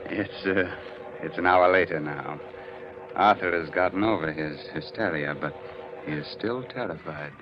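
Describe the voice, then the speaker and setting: A man's voice: sits low, moderate pace, close to an everyday voice. An actor narrating a 1940s radio horror tale as a grave male storyteller. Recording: dull, noisy 1940s radio recording.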